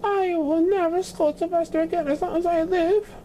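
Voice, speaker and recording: A man's voice: very high voice, fairly even intonation, moderate pace, mellow, soft background music, voice pushed up. A man voicing a worried, loving mother donkey in a storybook, pleading and earnest. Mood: anguished vow.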